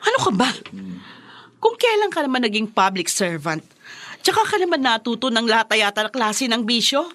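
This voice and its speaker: A woman's voice: on the high side, very animated, normal speed, a bit bright. A woman acting in a radio drama as a hurt, reproachful young wife who complains.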